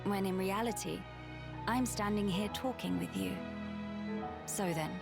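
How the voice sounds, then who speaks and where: An actress voicing a kind, resolute young crown princess in a video game drama, with a British accent and formal, earnest delivery. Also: female voice, mid-range voice, unhurried, vocal fry, music in the background. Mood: gently confident.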